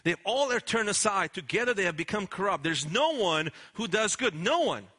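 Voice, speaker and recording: A man's voice: high voice, lively intonation, normal speed, sharp tone, husky. A man preaching a sermon in an earnest, emphatic way, often restarting his words. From Romania. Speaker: pastor.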